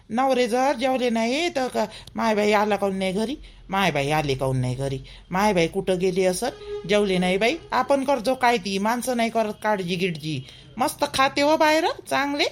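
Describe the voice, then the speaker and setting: A woman playing a protective middle-aged village mother-in-law in a cartoon, chatty and emphatic, with a Vidarbha Marathi accent. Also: on the high side. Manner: chatty, emphatic, repeats herself. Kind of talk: homely cartoon drama dialogue.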